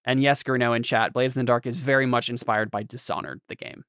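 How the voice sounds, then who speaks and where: A man runs a tabletop role-playing session in a casual, rambling way, full of fillers and restarts. His voice sits at an ordinary middle pitch. The sound is close and dry. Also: fairly even intonation, fast talker, fairly bright tone, clear, resonant tone, no room sound. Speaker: game master. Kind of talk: casual game chat, reading chat.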